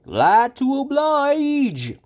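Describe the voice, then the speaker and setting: Storyteller acting cheerful farmer man, folksy, obliging, raised pitch, lively swings, children's storybook. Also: extremely slow, heavily acted.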